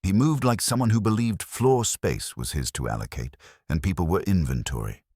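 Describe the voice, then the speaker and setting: A man with a gravelly, husky voice, narrating a scripted detective story in a wry noir manner. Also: low-pitched, lively intonation, moderate pace, a little mellow, no echo, clean studio sound. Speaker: audiobook narrator.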